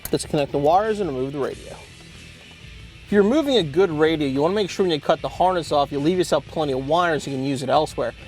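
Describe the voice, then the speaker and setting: A man presenting an instructional product demonstration as a tutorial host, explaining things clearly step by step. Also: on the high side, fast talker, darkish tone, faint music behind. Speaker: host of car parts installation tutorial.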